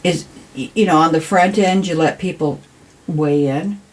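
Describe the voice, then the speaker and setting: A woman's voice: low voice, moderate pace. An American woman talking conversationally as an interview guest, earnest and emphatic, repeating words for stress. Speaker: city council member, podcast guest.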